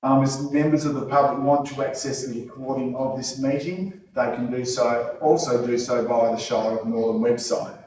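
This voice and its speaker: Loud Australian man chairing a council meeting. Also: medium pitch, steady tone, moderate pace, few pauses, noisy background. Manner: loud voice, informal and joking. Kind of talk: formal meeting opening, casual asides. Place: council chamber. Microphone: not using the microphone. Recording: roomy, from far off.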